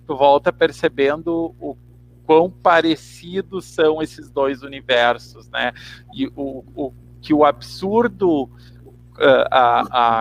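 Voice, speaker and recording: A man's voice: mid-range voice, a bit bright, low-quality recording. A man with a Brazilian accent, giving reflective answers as a guest actor in an interview. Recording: clear background hum and noise.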